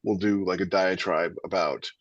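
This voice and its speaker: A man co-hosting a podcast in casual talk, halting and repeating words as his thoughts build, on slightly lo-fi audio. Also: low-pitched, steady tone, dry recording. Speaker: podcast co-host. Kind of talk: casual panel discussion. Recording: dull, slightly lo-fi dry audio.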